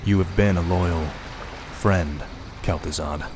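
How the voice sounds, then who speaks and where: A man's voice: low voice, steady tone, slow pace, background noise. A man playing a grim, arrogant death knight king in a video game, solemn and commanding. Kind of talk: video game cutscene acting.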